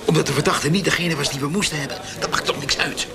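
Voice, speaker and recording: An actor playing a pious, pompous and self-righteous interrogator in a radio drama, preachy and fussy in manner. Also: male voice, on the high side, quick pace, creaky, very noisy. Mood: fussy, insistent.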